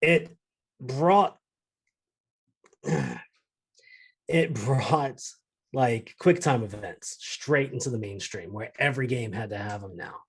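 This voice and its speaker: A man with a husky, slightly rough voice at a middle pitch. He talks casually as a podcast panelist, making blunt, emphatic points and swearing along the way. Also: animated, slow pace, long pauses. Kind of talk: casual chat, film and game discussion.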